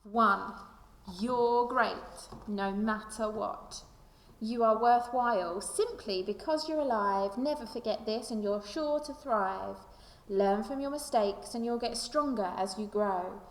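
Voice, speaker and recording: A woman reading a children's picture book aloud like a primary school teacher, with expressive, deliberate storytelling delivery. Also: fairly high pitch. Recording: echoey room with long reverb.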